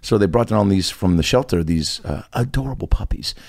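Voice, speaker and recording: Low-voiced man telling a story. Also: lively intonation, brisk, vocal fry, darkish tone, no room sound, clean studio sound. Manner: laughing, storytelling. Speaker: voice actor, podcast host. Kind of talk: casual storytelling chat.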